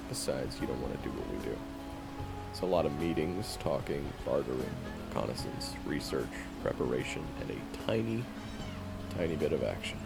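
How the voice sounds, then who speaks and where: A man's voice: very deep voice, creaky, exaggerated voice. A man playing a bitter, blunt monster hunter who talks in weary slang in an audio roleplay drama.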